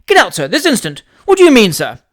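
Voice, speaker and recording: A reader voicing a flustered, easily indignant young gentleman who speaks in a fussy, indignant manner. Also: mid-range voice, huge pitch swings, fast, voice pushed up.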